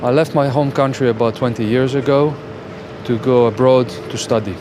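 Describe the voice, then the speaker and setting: A man answering street interview questions outdoors, pausing hesitantly between words. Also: medium pitch, fairly even intonation. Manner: hesitant, with fillers. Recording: outdoors with traffic noise.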